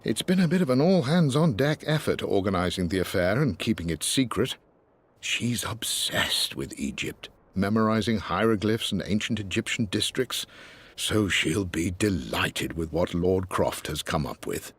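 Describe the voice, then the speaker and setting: Husky, devoted elderly British butler. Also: male voice, fairly low pitch, animated, normal speed. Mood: fond, discreet. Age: older. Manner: formal, deferential, gently wry. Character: devoted, patient elderly English family butler. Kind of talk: video game voice acting, letter narration.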